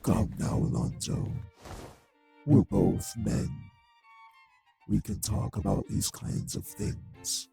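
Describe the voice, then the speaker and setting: A man playing a cruel, taunting male vampire in an audio drama, with a husky, gravelly voice and a slow, mockingly polite manner. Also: on the low side, huge pitch swings, warm tone, faint music behind, exaggerated voice. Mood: smug taunting. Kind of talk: scripted audio roleplay drama.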